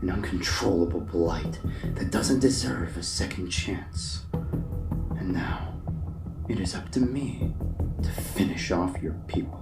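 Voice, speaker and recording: A man's voice: low-pitched, unhurried, creaky. A man playing a menacing assassin in a sci-fi audio drama, with a cold, threatening delivery and a whispery hiss. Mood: cold menace. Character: menacing male assassin lurking in the dark. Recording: echoey, distant, loud music behind.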